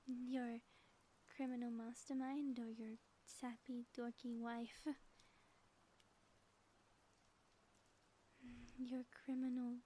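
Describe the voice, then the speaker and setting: Woman acting a breathy, playful ex-villain wife, softly mellow. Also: a little high, level tone, unhurried, natural voice. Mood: tender, flirtatious. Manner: teasing, breathy, often dropping toward a whisper. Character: playful, flirtatious ex-villain wife, theatrical yet sappy and affectionate. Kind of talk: intimate ASMR audio roleplay. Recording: faint background noise.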